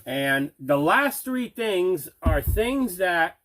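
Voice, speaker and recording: Enthusiastic higher-voiced man hosting an unboxing. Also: big pitch swings, very slow, fairly bright tone. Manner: enthusiastic, repetitive emphasis. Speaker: host of a collecting unboxing video. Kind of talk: casual unboxing chat to camera.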